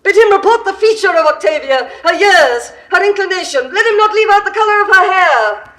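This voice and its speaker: A high-pitched, imperious queen. She is lovesick and volatile, speaking classical verse with grand theatrical force, as in a 1960s audio drama. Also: expressive, brisk, a bit bright. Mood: faint, grieving.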